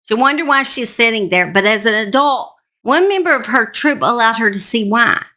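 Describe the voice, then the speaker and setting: A woman's voice: fairly high pitch, animated, fairly quick, sharp tone. A woman host narrating a true crime story in an informal, plain-spoken, conversational way, speaking straight to her listeners.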